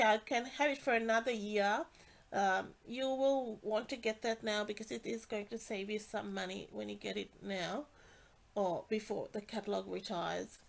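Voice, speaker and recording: A woman's voice: a little high, vocal fry. Chatty woman with a stuffy nasal voice. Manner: chatty, many fillers and restarts. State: congested and nasal. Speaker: stamping demonstrator. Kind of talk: casual product walkthrough.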